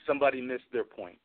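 A man chatting casually as a guest in a radio interview, rambling through his opinions. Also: mid-range voice. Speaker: guest, film special effects artist. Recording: band-limited phone-like radio sound.

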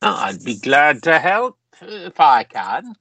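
An actor in a radio play playing a genteel, self-satisfied member of Parliament with old-fashioned, pompous phrasing. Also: male voice, a little high, sing-song, takes it slowly, sharp tone, breathy edge, no room sound, full character voice. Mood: jovial, hearty.

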